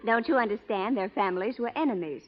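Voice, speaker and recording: An actress playing a spirited, independent young frontier schoolteacher in an expressive old radio drama style. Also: female voice, fairly high pitch, quick pace, close to an everyday voice. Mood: teasing, indignant. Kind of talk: 1930s-40s radio drama acting. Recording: muffled old radio recording.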